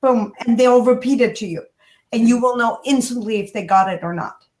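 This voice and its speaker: A woman with a high voice talks in an animated, coaching way, stressing her points and turning to address listeners directly. Her voice rings in a roomy, echoing space. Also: fairly quick. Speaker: guest, kindness speaker. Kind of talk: animated advice-giving interview talk.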